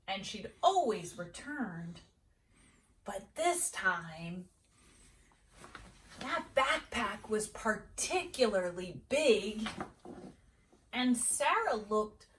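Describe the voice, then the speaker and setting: A woman reading a children's storybook aloud as a read-aloud host, expressive and deliberate, with dramatic pauses and emphasis. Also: sits high. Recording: roomy echo, distant microphone.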